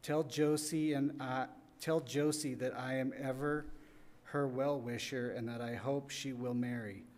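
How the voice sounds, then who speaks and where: A man's voice: mid-range voice, steady tone, a little slow, darkish tone. A middle-aged man reading an old letter aloud into a podium microphone in an indoor gallery. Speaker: readers theater reader. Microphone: gooseneck podium microphone.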